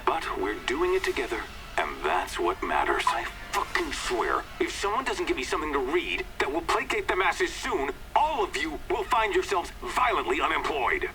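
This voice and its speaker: A man's voice: fairly high pitch, sing-song, normal speed, over music. An actor playing a pompous, short-tempered corporate chairman in a video game, with a polished public-speaking manner. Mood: furious. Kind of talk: video game scripted address.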